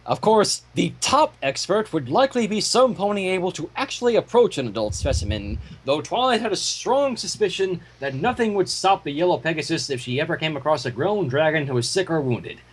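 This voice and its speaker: A man with a husky voice reading a story aloud as narrator, in scripted prose. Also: fairly high pitch, big pitch swings, brisk, hardly any pauses, a little hiss or hum, rough recording. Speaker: narrator and fan fiction reader.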